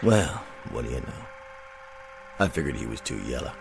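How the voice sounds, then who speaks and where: An actor playing a laconic, tough, menacing western marshal with terse, drawling cowboy talk. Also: male voice, very deep voice, sing-song, normal speed, creaky, background noise, pitched below the performer's own voice, exaggerated voice. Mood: quietly menacing. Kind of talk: western film dialogue.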